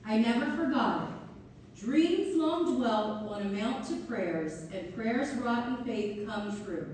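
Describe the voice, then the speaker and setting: High, animated actress as grateful townswoman. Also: slow pace. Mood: earnest, grateful. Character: grateful, earnest townswoman who runs a business. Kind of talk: community theater monologue. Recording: echoey hall from far off, faint noise.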